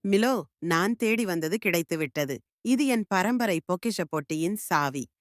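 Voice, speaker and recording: A woman voicing a kind, curious young village girl in a cartoon, speaking gently and earnestly. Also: fairly low pitch, lively intonation, a little slow, no echo, clean studio sound.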